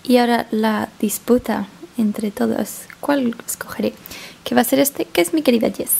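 A woman's voice: a little high, moderate pace, vocal fry, a little mellow, a little hiss or hum. A woman in her twenties with an accent from Spain, chatting casually to camera in a rambling, chatty way. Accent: Spanish from Spain. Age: 20s. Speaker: vlogger. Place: small flat living room, indoors.

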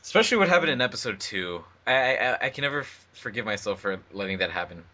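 Bright-voiced, lowish man chatting. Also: very animated, quick pace. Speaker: editor and voice actor, commentary co-host. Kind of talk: casual commentary chat.

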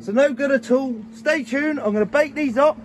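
High-pitched man chatting on a boat. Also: normal speed. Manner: chatty, matey, slangy, often addressing viewers as guys. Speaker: fishing vlogger. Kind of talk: casual vlog commentary to viewers. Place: small open fishing boat at sea. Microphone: built-in camera or phone mic, few feet away. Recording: outdoor action camera and phone, noisy. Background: faint boat and outdoor noise.

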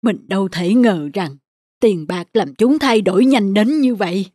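A woman voicing a kindly old village father in an animated folk tale, plaintive and fatherly, with a husky voice. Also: mid-range voice, a little slow, dry recording, studio quality. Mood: hurt, dismayed.

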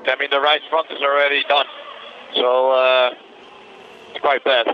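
A man's voice: medium pitch, level tone, measured pace. A man, a racing driver, giving feedback over team radio. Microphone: helmet radio microphone. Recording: muffled, noisy car radio with engine noise.

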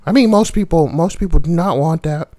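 A man's voice: on the high side, brisk, dark tone, lightly acted. A man voicing a brash, foul-mouthed schoolboy, reading posts for comedy and adding slangy, mocking asides. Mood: sardonic, casual. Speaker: host reading forum posts. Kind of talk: comedic reading with crude commentary.

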